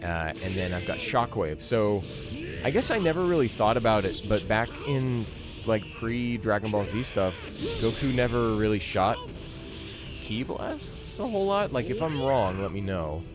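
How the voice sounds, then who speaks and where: A man's voice: on the low side, sing-song, normal speed. A man in his thirties giving casual, rambling, opinionated commentary as he streams a video game. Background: video game music and sound.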